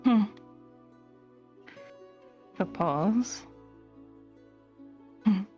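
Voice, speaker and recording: A woman's voice: mid-range voice, animated, extremely slow, a little mellow, faint music behind. A woman roleplaying a flighty, carefree young woman in a fantasy game, talking in a casual, rambling way. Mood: dreamy, amused. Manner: rambling, giggly, trailing hesitant phrasing.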